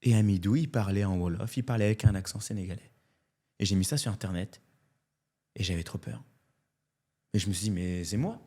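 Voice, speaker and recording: Animated, candid French comedian telling his story. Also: fairly low pitch, a little fast, pauses often. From France. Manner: animated and candid, often adds "tu vois". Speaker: comedian guest. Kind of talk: casual interview storytelling.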